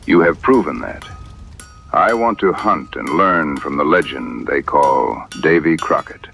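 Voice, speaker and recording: A man playing a proud, solemn warrior and hunter in an audio drama, speaking formally and deliberately in a gravelly voice. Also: very deep voice, fairly bright tone, faint music behind, full character voice. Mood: solemn, earnest.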